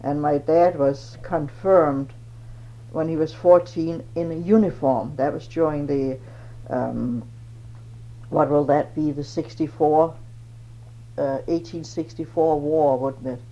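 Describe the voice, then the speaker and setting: Older woman, halting. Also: low-pitched, fairly even intonation, dark tone. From Denmark. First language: Danish. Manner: halting, searches for words. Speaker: interviewee. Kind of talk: oral history interview. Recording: dull old tape with faint noise.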